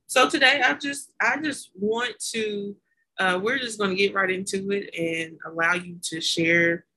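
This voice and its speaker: A woman's voice: fairly low pitch, low-quality recording. Chatty Southern woman hosting a podcast. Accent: Southern American. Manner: warm, chatty, stumbles over words and laughs at herself. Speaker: podcast host. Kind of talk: casual faith podcast interview.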